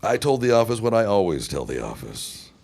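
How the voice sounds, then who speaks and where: Scheming period businessman male actor, audio drama: gravelly, theatrical, defensive, over faint background noise. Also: lowish voice, big pitch swings, brisk, a little mellow. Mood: defensive, exasperated. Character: evasive, scheming philandering businessman of the 1910s.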